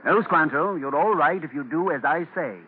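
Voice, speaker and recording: An actor in a 1940s radio drama playing a kindly, shrewd merchant gentleman, polite and reasonable. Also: fairly high pitch, moderate pace, natural voice. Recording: tinny, hissy 1940s radio broadcast.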